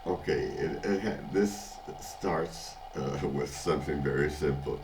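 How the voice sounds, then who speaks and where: Older man, very deep and congested. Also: noisy background. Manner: halting, many restarts and fillers, chuckles often. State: congested, hoarse from illness. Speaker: vlogger. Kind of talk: casual video diary. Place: at home, early morning.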